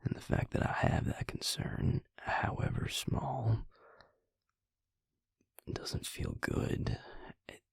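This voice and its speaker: A man playing a brooding vampire maker in an intimate audio roleplay, speaking haltingly and reflectively in a husky, gravelly whisper. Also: no room sound, clean studio sound, lightly acted. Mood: uneasy, confiding. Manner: halting, reflective, low-key. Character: brooding male vampire maker confiding in his mate.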